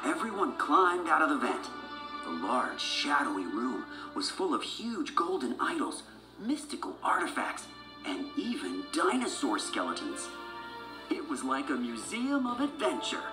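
A woman's voice: sits low, very animated, unhurried. A woman narrating a children's storybook from a script as a read-along. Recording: roomy sound with music behind.

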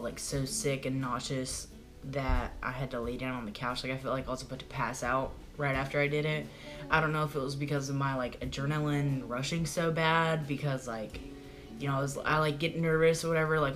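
A young man talking casually to the camera in a small room at home, chatty and rambling with lots of "like" fillers, his voice prone to cracking and going hoarse. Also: mid-range voice, fairly bright tone. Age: young adult. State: voice goes hoarse easily. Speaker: vlogger. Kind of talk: casual video diary. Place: small bedroom at home, indoors. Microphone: camera in front at close range.

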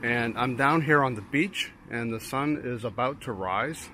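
Middle-aged man photographer, relaxed vlog chatter, outdoors by the surf, audible wave noise behind. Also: mid-range voice, measured pace. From Portland, Maine, USA. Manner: relaxed, explaining as he works, many fillers and restarts. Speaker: landscape photographer. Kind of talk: casual vlog narration while shooting. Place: rocky beach outdoors at dawn, waves behind. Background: surf and ambient noise.

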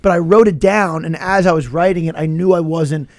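A man's voice: a little high, fairly even intonation, a little fast, no room sound. A man who works as a comedian, chatting casually on a podcast. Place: small podcast studio. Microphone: close studio microphone with headphones.

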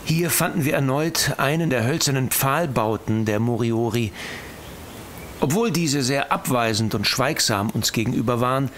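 An actor playing an observant gentleman explorer reading his diary in an audio drama, formal and measured. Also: medium pitch, slight background noise, close to an everyday voice. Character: expedition diarist, composed, observant, quietly amused gentleman.

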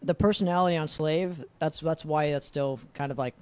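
Mellow, higher-voiced man hosting a podcast. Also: fairly even intonation, moderate pace, smooth, no echo. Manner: hesitant, many "um"s, recaps before asking. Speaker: podcast host. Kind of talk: interview questions. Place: small studio room. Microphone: desk mic with headphones.

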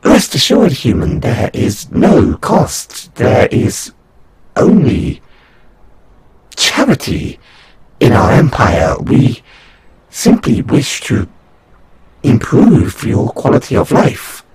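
A man voicing a condescending alien angel envoy in an audio roleplay, speaking in a halting, solemn, formal way with a breathy, husky voice. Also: mid-range voice, wildly swooping pitch, darkish tone, heavily acted. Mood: incredulous, lofty. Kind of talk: scripted audio roleplay monologue.

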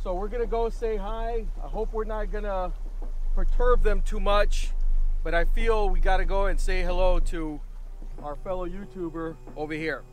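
A man's voice: high voice, normal speed, slight background noise. Chatty man vlogging from a boat. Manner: easygoing, chatty, bursts into excited shouts. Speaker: fishing vlogger and host. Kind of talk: casual on-camera fishing vlog chat. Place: outdoors on a boat on a bay. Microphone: handheld or head-mounted camera.

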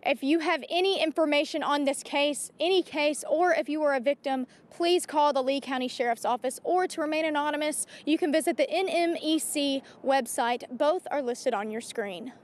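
A woman's voice: high-pitched, moderate pace, hardly any pauses. A woman in her twenties delivering a scripted TV news report into a handheld microphone. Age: 20s.

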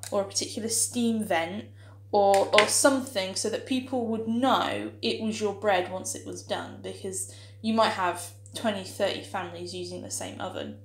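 A young woman chatting casually through a home baking demonstration, upbeat and playful, with plenty of filler ums and little history asides. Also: mid-range voice. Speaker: home cooking vlogger. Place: small home kitchen, indoors. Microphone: camera mic on the counter, speaker moving around. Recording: echoey kitchen room sound.

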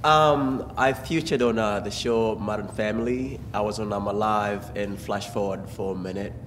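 A man's voice: fairly low pitch, steady tone, normal speed, fairly bright tone, faint music behind. A man, an actor guest on a casual studio talk show, hesitant with many fillers. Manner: hesitant, lots of "uh". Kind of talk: casual interview. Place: studio set. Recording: reverb, clear background noise.

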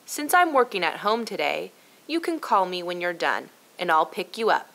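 A woman voicing a smiling, easygoing dad, gentle and cheerful, in a children's storybook. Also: fairly low pitch, very animated, normal speed, vocal fry, exaggerated voice. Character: easygoing, smiling dad giving his kids an errand.

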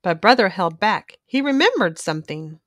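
A woman reading a children's storybook aloud in an expressive, animated narrating style for young listeners. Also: medium pitch, moderate pace, no echo, clean studio sound.

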